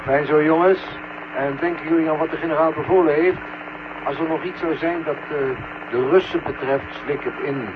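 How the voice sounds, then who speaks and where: A man playing a calm, matter-of-fact mission controller heard over a radio link in a vintage science fiction radio play. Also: fairly high pitch, normal speed, lo-fi sound. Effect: radio link filter. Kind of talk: 1970 Dutch science fiction radio drama acting. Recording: thin, noisy radio-transmission sound.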